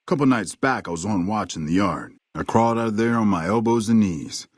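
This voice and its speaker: A husky, drawling veteran sniper. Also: male voice, lowish voice, lively intonation, no room sound, clean studio sound. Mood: wry, reflective. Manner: folksy, unhurried, understated. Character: weathered veteran army sniper, courteous, laconic old ex-scout. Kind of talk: video game character dialogue.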